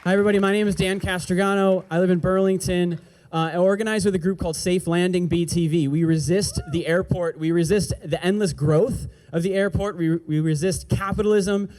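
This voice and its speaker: High-voiced angry rally speaker, man. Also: fairly even intonation, a little fast, darkish tone, poor audio. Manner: angry, emphatic, swearing, repeats slogans. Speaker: rally speaker, activist organizer. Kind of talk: impassioned rally speech. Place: outdoor street rally.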